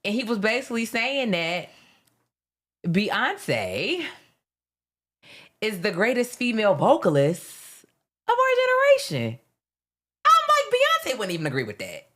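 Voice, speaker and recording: A woman's voice: mid-range voice, a little slow, long pauses. A woman in her late thirties from South Carolina, hosting a podcast and telling stories with a laugh in casual chat. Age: 30s. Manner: animated storyteller, laughs often. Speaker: podcast host.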